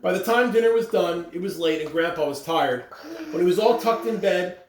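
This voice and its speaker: A person reading a children's storybook aloud with expressive, animated delivery. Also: medium pitch, a little fast. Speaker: school staff member reading aloud. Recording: roomy, distant mic with clear background noise.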